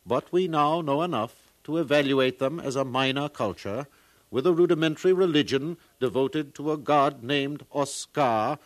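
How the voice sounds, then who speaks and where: Slow, pompous scholar played by a man. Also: medium pitch, no room sound, natural voice. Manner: pompous, oratorical lecturing. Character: pompous far-future archaeologist, a solemn learned man. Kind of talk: 1950s radio drama acting.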